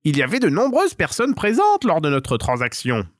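A man's voice: fairly high pitch, wildly swooping pitch, moderate pace, no echo, clean studio sound, voice pushed up. A man voicing a sly, dishonest jackal with oily, mock-courteous manners in a children's tale. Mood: protesting. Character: sly, dishonest male jackal, falsely polite.

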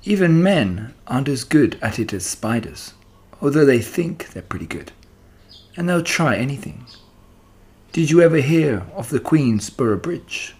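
A man voicing a gentle, patient, clever female spider who teaches calmly in a children's storybook. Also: medium pitch, unhurried, husky, pitched above the performer's own voice. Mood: reassuring, wry. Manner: calm, instructive, reassuring.

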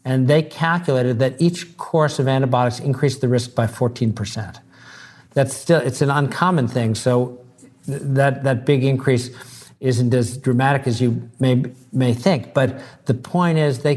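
An earnest man speaking as a doctor in a relaxed talk-show conversation. He explains things patiently, doubling words as he gets going, in an ordinary mid-range voice. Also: mid-range voice, normal speed. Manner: earnest, repeats words when starting sentences. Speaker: doctor, guest.

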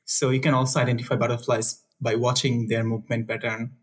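A young man from Bangladesh, a conservation researcher answering interview questions in a soft, easygoing way with frequent fillers and laughs. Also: medium pitch, little pitch movement, fast, slightly rough.

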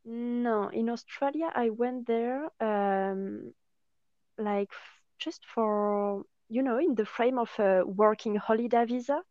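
A woman's voice: on the high side, slow pace, a little mellow, no room sound. A young woman with a French accent, chatting as an interview guest about her work, hesitant and full of fillers. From France. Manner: hesitant, many fillers and restarts. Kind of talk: casual interview chat. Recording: dull lo-fi sound.

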